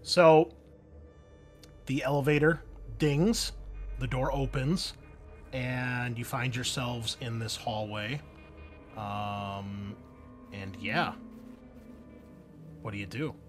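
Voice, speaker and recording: A man's voice: medium pitch, fairly even intonation, takes it slowly, long pauses. A man narrating a tabletop roleplaying game as game master in an informal, conversational way. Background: background music.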